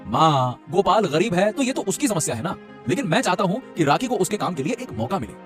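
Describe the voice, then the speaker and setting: Man acting high-pitched, earnest young cartoon husband. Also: big pitch swings, brisk. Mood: pleading, insistent. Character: earnest young husband pleading with his strict mother. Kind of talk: Hindi moral-story cartoon voice acting. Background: music.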